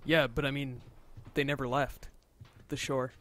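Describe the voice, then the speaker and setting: A man's voice: medium pitch, level tone, a little slow, a bit bright. A man co-commentating on a video game with casual, wry, quippy banter.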